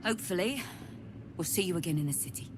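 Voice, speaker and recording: A woman voicing a blunt low-voiced refugee leader. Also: animated, fast talker, noisy background, close to an everyday voice. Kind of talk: video game voice acting.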